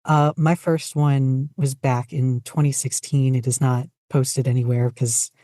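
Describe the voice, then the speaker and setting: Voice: medium pitch, flat delivery, unhurried, warm tone, dry recording, studio quality. A podcast guest chatting casually in an interview, hesitant and self-deprecating. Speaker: podcast guest, fan fiction writer and podficcer.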